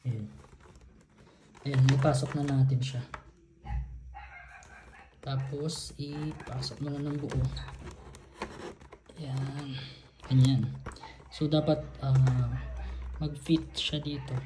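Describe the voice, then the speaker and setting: Dark-voiced Filipino man in casual tutorial. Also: mid-range voice, level tone. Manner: halting, informal step-by-step instruction. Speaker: vlogger giving a product installation tutorial. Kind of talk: casual hands-on tutorial, mixing Tagalog and English. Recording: roomy echo, noticeable background noise.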